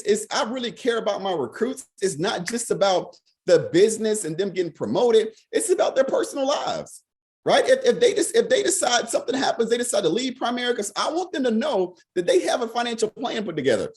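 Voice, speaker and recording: A man leading a financial sales team on a video call, hoarse from being sick, talking fast and repeating "right?" over and over. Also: sits high, lively intonation, a little mellow. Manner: fast, repetitive, peppered with "right?". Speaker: financial services team leader. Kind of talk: motivational talk on a video call.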